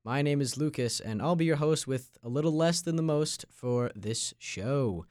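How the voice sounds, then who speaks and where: A young man from Long Island hosts a podcast in a medium-pitched voice. The sound is dry, with very little room. He moves between prepared introduction and casual questions. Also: normal speed, a little mellow, no room sound. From Long Island, New York. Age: late teens. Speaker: podcast host. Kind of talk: scripted intro and casual interview.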